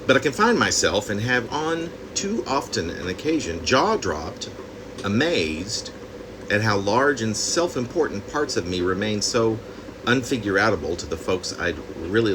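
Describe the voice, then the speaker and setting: A man reading his own poem reflectively, in deliberate, halting phrases with pauses. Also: fairly low pitch, very animated, noisy background. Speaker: poet reading own work.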